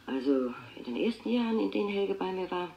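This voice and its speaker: A woman's voice: mid-range voice, lively intonation, brisk, creaky. An actress playing an aunt who recalls her troubled nephew in a taped radio drama interview. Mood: reflective. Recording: dull, roomy tape recording.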